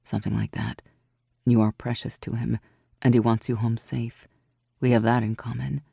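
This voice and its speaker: A woman voicing a strong, protective warrior woman who speaks low and soft in a storybook. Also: little pitch movement, moderate pace, creaky, slightly rough, no echo, clean studio sound, full character voice. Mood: reassuring.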